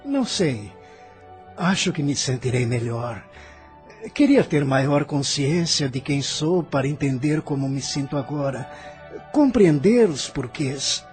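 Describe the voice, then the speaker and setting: Audio drama role: a male actor as a poor, regretful departed soul, Brazilian, lightly high-pitched, questioning and unsure, soft music behind. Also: animated, unhurried. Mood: hesitant, earnest. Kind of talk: scripted audio drama dialogue.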